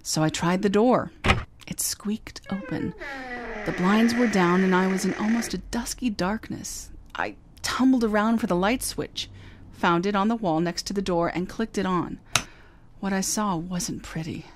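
A woman's voice: medium pitch, expressive, measured pace, background noise, lightly acted. A woman playing a wisecracking young female private detective in a radio drama, telling her story in dry, wry first-person hardboiled narration. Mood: narrating. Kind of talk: 2009 re-creation of 1950 radio drama. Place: recorded live, San Francisco.

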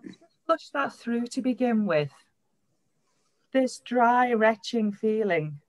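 A woman on a video call, speaking slow, halting tapping phrases as her voice fades. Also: fairly high pitch, expressive. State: losing her voice. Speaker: tapping client.

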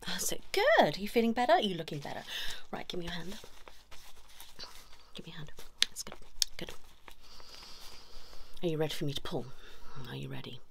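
A woman's voice: lowish voice, very animated, normal speed, gravelly, pauses often. A woman in her thirties playing a chiropractor in an ASMR roleplay, giving short instructions and praise up close, slipping in and out of whispers. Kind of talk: fast ASMR roleplay, personal attention. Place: small indoor room. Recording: noisy lo-fi audio with clicks.

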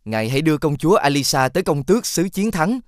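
A man voicing a clever, helpful talking fox in an animated fairy tale, coaxing and explaining. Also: fairly high pitch, quick pace, no echo, studio quality. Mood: scheming, reassuring.

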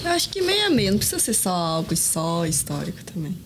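A woman's voice: fairly low pitch, very animated, fast. Woman, from Brazil, podcast guest, short plain answers, faint background noise. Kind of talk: casual chat.

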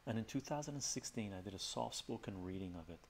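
A middle-aged man, Armenian by birth, chats in a relaxed, rambling way with fillers, as a livestream host in his home study. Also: on the low side, quick pace, slight background noise. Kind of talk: casual soft-spoken chat with viewers.

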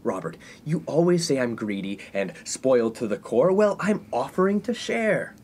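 A man's voice: mid-range voice, sing-song, moderate pace, darkish tone, slight background noise. An actor playing a smug, spoiled, lecherous 1950s college man in a comedic radio play, talking in a pompous, mock-philosophical way. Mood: smug, defensive. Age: young adult.

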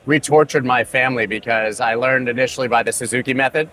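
A man hosting an interview in a relaxed, conversational way. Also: mid-range voice, fast talker, a little hiss or hum. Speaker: podcast host.

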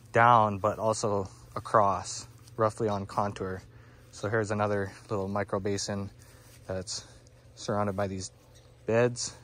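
A man giving a relaxed walking tour of his garden outdoors, with lots of "um, you know," filmed on a handheld phone. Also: lowish voice, a little hiss or hum. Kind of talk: casual walking tour explanation. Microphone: phone camera held by the speaker.

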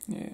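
A young man from Cleveland doing an intimate ASMR roleplay, talking softly and often whispering, recorded close in a small indoor room. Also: a little hiss or hum. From Cleveland, Ohio.

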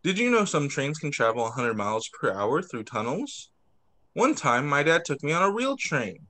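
A man voicing an eager little boy playing with toy trains in a picture book, excited and simple in his delivery. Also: fairly high pitch, normal speed, pitched below the performer's own voice. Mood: excited, bossy.

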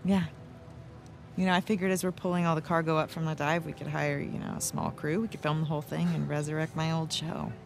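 A woman playing a confident, warm, middle-aged American wife and adventurous journalist in a naturalistic video game cutscene, with a teasing, assured manner. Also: low voice, little pitch movement, fast talker, creaky. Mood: earnest, hopeful. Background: music.

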